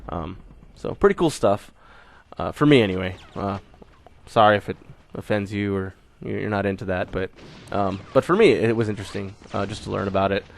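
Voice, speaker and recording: A man's voice: fairly low pitch, vocal fry. A young man giving a casual channel update, rambling with many fillers and restarts while still getting over a cold. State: recovering from a cold. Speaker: gaming channel host.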